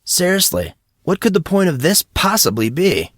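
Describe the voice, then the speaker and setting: A man's voice: high-pitched, sing-song, normal speed, no room sound, studio quality, voice pushed up. A man voicing a puzzled, indignant teenage schoolboy with a blunt, casual, complaining manner.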